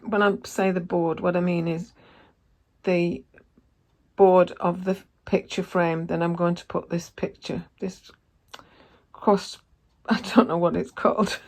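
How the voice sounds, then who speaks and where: A woman with a croaky voice, chatting casually through her sewing work and correcting herself as she goes. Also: on the low side, flat delivery, a little slow, long pauses, a little mellow. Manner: chatty, self-correcting, thinks aloud while working. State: croaky voice, coughing. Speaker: sewing vlogger. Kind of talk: casual sewing vlog narration.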